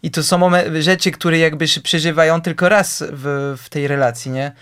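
Young guy from france, smooth clear voice, kinda high, lots of ums and restarts, mixes up word forms. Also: fairly even intonation, normal speed, a bit bright. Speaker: interview guest. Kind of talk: casual conversation.

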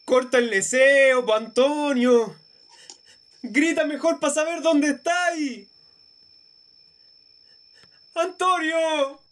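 An actor playing a worried, exasperated young man with a colloquial Chilean accent in a radio drama. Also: very high voice. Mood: weary, exasperated. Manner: colloquial, slangy. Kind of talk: naturalistic radio drama acting.

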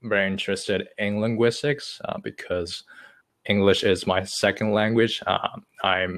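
A man's voice: lowish voice, fairly even intonation, unhurried, fairly bright tone. A man in his twenties from China, whose first language is Chinese, telling his story in casual chat with frequent hesitations. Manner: hesitant, many uh fillers, long-winded. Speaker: podcast guest.

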